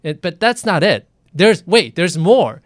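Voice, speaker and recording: A man's voice: high-pitched, big pitch swings, a little slow, no echo, clean studio sound. A man hosting a casual live video interview, talking enthusiastically with many restarts and fillers. English is not his first language.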